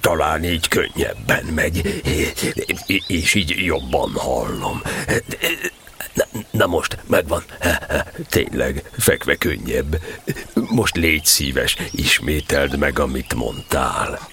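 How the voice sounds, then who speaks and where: An actor playing a gloomy, self-deprecating stuffed donkey in a children's radio play, speaking slowly and hesitantly. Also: male voice, rumbling low, creaky, bright, forceful tone, a little hiss or hum, heavily acted. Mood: gloomy, resigned. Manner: slow, hesitant, doleful, repeating himself.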